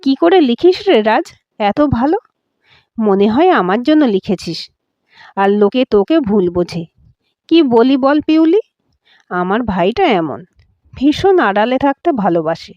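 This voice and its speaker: A woman's voice: on the high side, sing-song, unhurried, long pauses, no echo, highs cut off. A woman voicing a groom's friendly, caring sister in an audio story, with a chatty, familiar way of talking. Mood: admiring.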